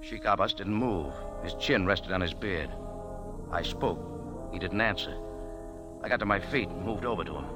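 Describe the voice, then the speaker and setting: An actor playing a tough café owner who narrates a 1940s radio drama in a laconic, hard-boiled way. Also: male voice, deep voice, moderate pace, natural voice. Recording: old radio broadcast with music.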